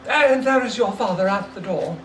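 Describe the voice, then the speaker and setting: Man playing an earnest, gentle boy, raised pitch. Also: normal speed, echoey room, recorded from a distance, slight background noise. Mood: gentle sadness. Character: earnest, gentle young son of a poor family.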